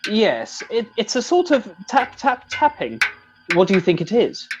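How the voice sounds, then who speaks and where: A man's voice: high-pitched, huge pitch swings, a little slow, lo-fi sound, music in the background, exaggerated voice. A man playing a polite, mild-mannered middle-aged sergeant in an amateur comedy radio play, courteous and hesitant. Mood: courteous, dithering.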